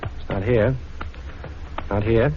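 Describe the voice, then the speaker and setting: An actor playing a cocky, wisecracking detective in a 1940s radio drama, brash and taunting, on a muffled old recording. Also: male voice, on the low side, steady tone, slow pace, loud music behind the voice. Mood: commanding. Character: cocky wisecracking male amateur detective, tough but playful.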